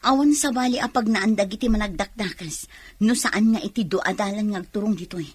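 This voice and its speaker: A woman's voice: medium pitch, a little fast, slightly rough, lightly acted. An actress playing an alert, serious policewoman in a radio drama, giving urgent instructions. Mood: urgent, tense.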